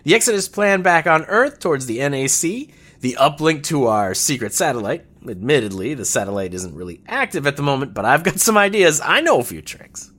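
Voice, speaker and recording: Jovial old revolutionary, animated voice. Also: male voice, fairly high pitch, fairly quick, few pauses. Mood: rousing, eager. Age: older. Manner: chatty, theatrical, folksy. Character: jovial old male revolutionary, garrulous and scheming. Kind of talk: audio drama acting.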